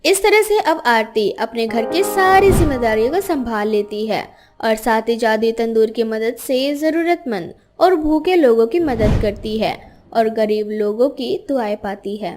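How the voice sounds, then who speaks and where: A woman's voice: on the high side, unhurried, darkish tone. A woman narrating a scripted moral story for children. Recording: music behind the voice.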